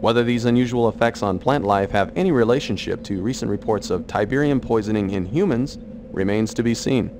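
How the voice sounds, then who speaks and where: A man playing a measured, grave news reporter delivering a scripted newscast in a video game. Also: on the low side, lightly acted. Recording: clear background noise.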